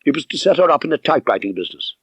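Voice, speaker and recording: A man's voice: fairly high pitch, very animated, very fast, creaky, fairly bright tone, breathy edge, no room sound, pitched above the performer's own voice, full character voice. A man voicing a genial country doctor in an audiobook, thoughtful and conversational as he passes on local knowledge. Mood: musing, gossipy. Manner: musing, conversational. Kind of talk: audiobook character dialogue.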